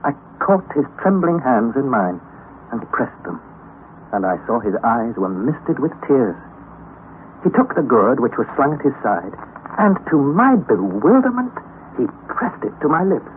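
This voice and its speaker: Despairing young explorer, tinny old radio. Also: male voice, medium pitch, big pitch swings, unhurried. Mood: moved, amazed. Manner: formal, anguished, theatrical delivery. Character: weary, despairing young nephew narrating a perilous expedition. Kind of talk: dramatic first-person radio play narration. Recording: noisy, thin vintage radio recording.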